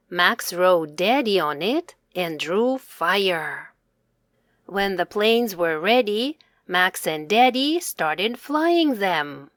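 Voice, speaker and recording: A woman's voice: mid-range voice, no room sound, clean studio sound. A woman reading a children's story aloud in simple, separated phrases with a teacherly manner.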